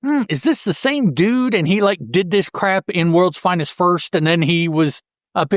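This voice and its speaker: Halting man in a dry-sounding podcast. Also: high-pitched, no echo. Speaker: podcast co-host. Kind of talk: casual discussion of a comic book story.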